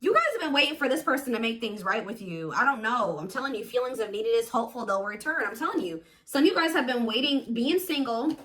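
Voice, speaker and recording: A woman's voice: on the high side, animated, fast talker, hardly any pauses, pushed and bright, a little hiss or hum. A woman giving a casual, intuitive tarot reading to viewers, talking in an emphatic, conversational way and repeating herself for emphasis. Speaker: tarot reader, host.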